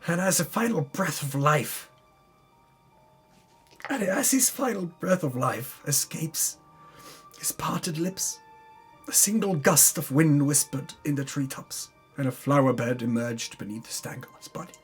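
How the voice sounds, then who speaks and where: A man's voice: on the high side, lively intonation, a little slow. A man giving a dramatic, mock-epic narration of a fantasy campaign recap, grandiose in delivery with dry, tongue-in-cheek asides. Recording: music under the voice. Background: background music.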